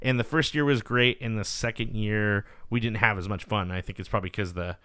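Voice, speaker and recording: Low, smooth-voiced man rambling casually. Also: steady tone, a little fast, fairly bright tone, no echo, clean studio sound. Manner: rambling, profane storytelling. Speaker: podcast host. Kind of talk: casual chat. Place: San Diego.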